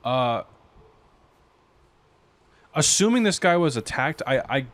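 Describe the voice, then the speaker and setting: Mid-pitched man playing a tabletop game. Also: sing-song, takes it slowly, fairly bright tone. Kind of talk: casual improvised game chat.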